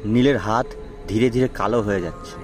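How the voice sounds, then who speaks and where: A man's voice: fairly low pitch, fairly quick, a little mellow. Male suspense story narrator over music. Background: background music.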